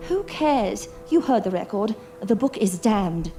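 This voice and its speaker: An actress playing a sharp-tongued, reluctant explorer's companion in a period horror audio drama, with formal, theatrical exasperation. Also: female voice, medium pitch, expressive, unhurried, faint music behind. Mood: fearful, reproachful.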